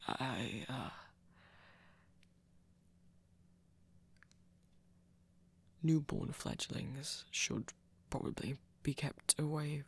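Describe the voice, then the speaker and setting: Performer acting a breathy, flirty vampire fledgling, low and slow. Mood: amused, hesitant. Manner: formal, careful phrasing, teasing. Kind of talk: breathy ASMR roleplay acting.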